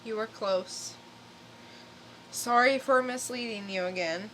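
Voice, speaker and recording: A woman's voice: a little high, slow pace, a bit bright, a little hiss or hum, low-quality recording. A woman co-hosting a podcast, chatting casually as she shares stories. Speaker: podcast co-host. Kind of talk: casual chat, telling news stories.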